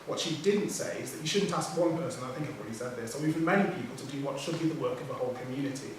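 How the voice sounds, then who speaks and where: A man who speaks English as his first language, reading a prepared talk as a poet on a writers' panel, measured, with small self-corrections. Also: medium pitch. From Nigerian heritage, raised outside Nigeria. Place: theatre hall. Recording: echoey hall from far off, background noise.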